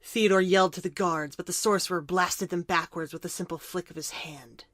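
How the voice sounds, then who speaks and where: Low-pitched woman narrating a fantasy tale. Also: fairly even intonation, a little fast, fairly bright tone, no room sound, studio quality. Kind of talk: scripted storybook narration for audio drama. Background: music.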